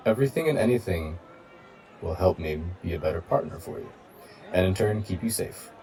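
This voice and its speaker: A man voicing a loyal giant combat robot AI in an audio roleplay, formal, measured and protective of a human partner, with a gravelly voice. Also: sits low, animated, mellow, distant mic, rough recording. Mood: measured, mildly reproachful. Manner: formal, measured, literal-minded. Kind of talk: scripted audio roleplay addressed to the listener.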